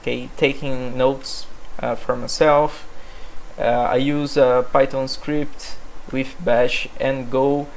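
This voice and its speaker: A man's voice: mid-range voice, flat delivery, slow, creaky, slight background noise, rough recording. A young man from Brazil, a native Portuguese speaker, presenting his developer background in English with many fillers and restarts. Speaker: software developer. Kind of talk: self-presentation monologue.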